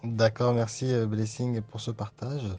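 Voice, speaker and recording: A man's voice: medium pitch, little pitch movement, a little slow, dark tone. A man hosting an interview, thanking the guest and prompting him in a friendly, casual way. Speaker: interviewer, host. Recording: dull lo-fi sound with faint music.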